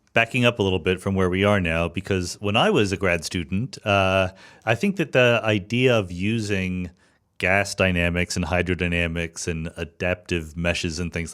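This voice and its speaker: A man's voice: lowish voice, fairly bright tone. A middle-aged man hosting a conversational science interview and putting questions to a guest. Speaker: podcast host and interviewer.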